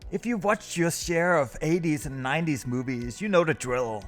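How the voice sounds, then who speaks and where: A man reading a scripted countdown review as the host of a video. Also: fairly high pitch. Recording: music under the voice.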